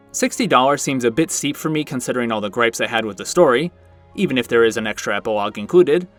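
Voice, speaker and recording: A man's voice: mid-range voice, a bit bright. A man hosting a review channel, narrating a scripted video in a conversational way with wry asides. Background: background music.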